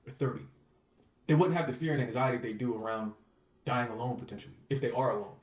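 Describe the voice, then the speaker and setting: A man's voice: fairly high pitch, distant mic. An earnest man talks in a casual interview, circling back over his points and checking in with the listener. He sits back from the microphone, and the room throws an echo around his voice. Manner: earnest, repetitive, uses filler phrases. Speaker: manifestation coach, guest.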